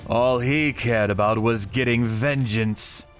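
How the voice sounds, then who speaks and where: A man reading scripted dramatic narration in a grave, measured way. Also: medium pitch, fairly even intonation, smooth. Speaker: narrator of a manga story, telling it in first person as a vigilante character. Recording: music behind the voice.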